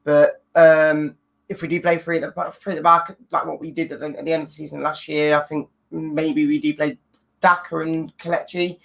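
Gravelly-voiced man talking football. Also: on the high side, flat delivery, moderate pace, a bit bright. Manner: thinks aloud, restarts often. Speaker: guest fan. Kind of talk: casual chat on a video call.

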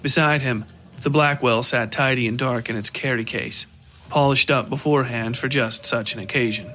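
Male narrator reading dark fiction. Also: mid-range voice, moderate pace. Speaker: podcast narrator and host.

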